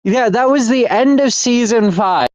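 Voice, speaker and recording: A man's voice: very high voice, measured pace, no room sound. A young man in casual chat as podcast co-host, rambling with restarts and laughter. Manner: rambling, laughing, self-interrupting. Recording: dull lo-fi mic.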